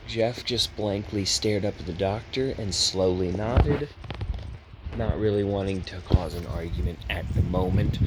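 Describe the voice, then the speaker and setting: A man narrating a horror story in an amateur style, on a noisy home recording. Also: on the low side.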